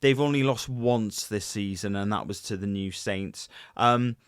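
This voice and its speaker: A man, casually co-hosting a podcast with his wife, animated and full of fillers and restarts. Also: on the low side, unhurried, clear, resonant tone, no echo, studio quality. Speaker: podcast co-host.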